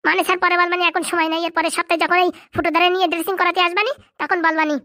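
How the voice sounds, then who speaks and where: A man voicing an excitable, cheeky young male cartoon buddy, with slangy bantering delivery and a pitched-up effect. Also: very fast, dry recording, studio quality, exaggerated voice. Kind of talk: comedy cartoon dubbing in colloquial rural dialect.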